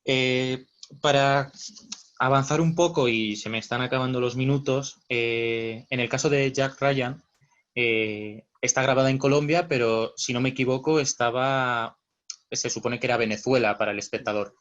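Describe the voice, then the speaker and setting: A man's voice: mid-range voice, fairly even intonation, moderate pace, fairly bright tone, dull audio. A man interviewing a guest, asking long questions with hesitant fillers.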